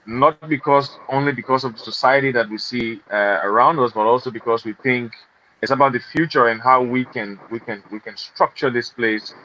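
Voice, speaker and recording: A man's voice: mid-range voice, normal speed, pushed and bright. A man from Liberia, a guest, talking earnestly about his work and family with many fillers. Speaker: guest, NGO executive. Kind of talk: conversational interview. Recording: slightly dull, faint noise.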